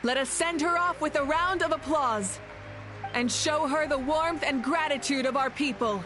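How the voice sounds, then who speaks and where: Woman voicing a confident, high-pitched female war leader. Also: moderate pace, over music, natural voice. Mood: solemn, proclaiming. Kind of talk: video game voice acting.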